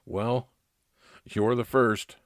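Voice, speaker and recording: A man playing a calm, kindly private investigator who narrates his own case in measured old-time radio detective style. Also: medium pitch, no room sound. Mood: reassuring. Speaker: narrator and lead actor.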